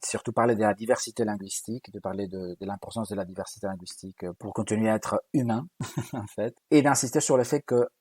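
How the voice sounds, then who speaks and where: A man from Galicia, a native Galician speaker with a Spanish accent, answers interview questions as a linguist. His voice sits low and slightly husky, recorded dry and close with no room sound. He talks hesitantly, often restarting and repeating words as he explains. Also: quick pace, warm tone, no echo. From Galicia, Spain. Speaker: linguist guest. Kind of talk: interview conversation.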